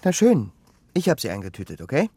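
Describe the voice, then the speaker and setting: An actor playing a defensive, evasive criminal suspect in a naturalistic radio crime drama, hesitating and justifying himself. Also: male voice, mid-range voice, huge pitch swings, fairly quick, warm tone, breathy edge, no room sound. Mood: pleading, self-justifying. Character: defensive cornered criminal suspect, excuse-making, volatile man.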